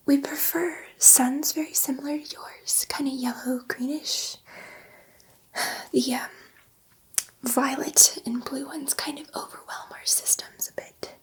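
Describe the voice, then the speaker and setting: Gentle, chatty female alien scientist, acted by a woman for sci-fi ASMR; high, breathy whisper, hesitant delivery. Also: rough recording. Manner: hesitant, rambling, confiding.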